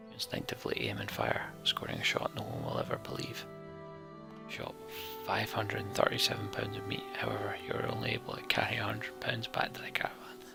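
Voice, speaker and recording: A man narrating a fantasy game's text like a storybook, in a hushed, theatrical manner. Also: extremely low pitch, gravelly. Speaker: let's play narrator.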